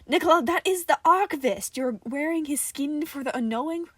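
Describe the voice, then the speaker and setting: A woman's voice: sits high, expressive, normal speed, fairly bright tone, no room sound, pitched above the performer's own voice. A woman voicing a bewildered, demanding female mannequin henchwoman in an audio horror story. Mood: insistent. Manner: demanding, insistent.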